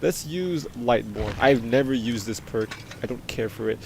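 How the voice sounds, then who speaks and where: A man with a deep voice he pushes louder, giving excitable, teasing commentary while playing a game. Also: moderate pace, creaky, slight background noise, rough recording. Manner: excitable, loud, teasing teammates. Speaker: gamer. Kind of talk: casual gaming commentary.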